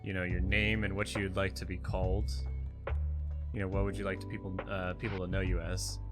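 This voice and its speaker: A man's voice: deep voice, flat delivery, a little fast, music in the background. A man hosting a casual interview, asking questions in a playful, teasing way. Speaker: host.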